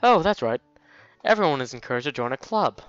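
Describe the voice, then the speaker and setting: A man's voice: medium pitch, sing-song, a little fast, fairly bright tone, no room sound, dull audio, soft background music. A man voicing a cheerful, flustered teenage schoolgirl from a visual novel, in a hesitant, bubbly, informal way. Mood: helpful, chatty.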